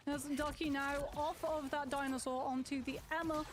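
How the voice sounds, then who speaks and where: A woman's voice: high voice, flat delivery, normal speed. A woman giving live color commentary on an esports match, steadily breaking down the plays.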